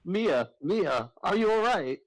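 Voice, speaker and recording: A man's voice: high voice, very animated, slow pace, dry recording, exaggerated voice, low-quality recording. A man playing a concerned male mentor in an amateur video game dub, with music behind.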